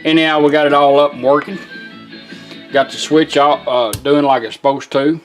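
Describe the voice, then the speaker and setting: A Southern American man walks through a product in a folksy, unhurried way. His voice sits a little high for a man, and there is some music behind him. He talks plainly and casually, like a hands-on restorer showing his work. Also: steady tone. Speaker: radio restorer demonstrating a product.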